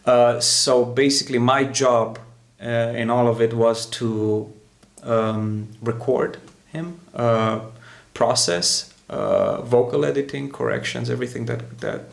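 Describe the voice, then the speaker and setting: A man with an average-pitched voice full of gravelly fry. He hosts in a casual, explanatory way as a producer, often pausing and repeating words while he searches for the next phrase. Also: steady tone. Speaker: music producer and host. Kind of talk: casual explanatory talk to camera.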